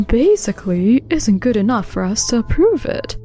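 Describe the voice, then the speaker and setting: A woman's voice: on the high side, sing-song, a little slow, dark tone, faint music behind. A woman voicing a strict, unbending city office official in a manga dub, curt and officious. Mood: dismissive. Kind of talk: anime-style manga dub acting.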